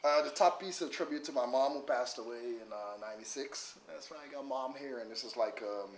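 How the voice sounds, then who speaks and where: A man's voice: mid-range voice, brisk, slight background noise. A middle-aged man from Trinidad with a Trinidadian accent, rambling in a casual interview with lots of "you know.". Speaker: interviewee, father. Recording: echoey room, mic at a distance.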